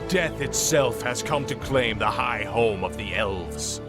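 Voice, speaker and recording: An actor playing a cold, arrogant fallen prince turned undead death knight, menacing and formal, in a fantasy game cutscene. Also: male voice, mid-range voice, expressive, measured pace, loud music behind the voice, lightly acted. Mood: imperious, threatening.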